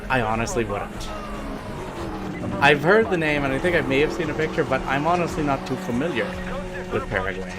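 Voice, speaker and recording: A man's voice: mid-range voice, lively intonation, brisk, long pauses. A man streaming a racing game, chatting casually with viewers, thinking aloud and apologizing as he guesses. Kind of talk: casual livestream chat while playing a racing game. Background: game music and engine noise.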